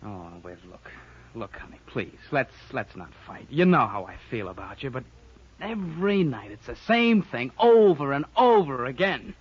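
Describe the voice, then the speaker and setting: An actor in a 1950s radio drama playing an evasive, weary young pianist husband, hesitant and defensive. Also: male voice, medium pitch, sing-song, normal speed, husky. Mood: tired, defensive. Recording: dull, lo-fi old radio recording.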